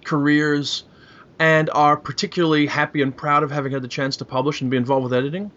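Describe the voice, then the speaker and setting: A man's voice: fairly high pitch, little pitch movement, fast, a bit bright. A man hosting a casual interview, putting conversational questions to his guests. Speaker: podcast host and interviewer. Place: internet call. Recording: muffled internet call, faint noise.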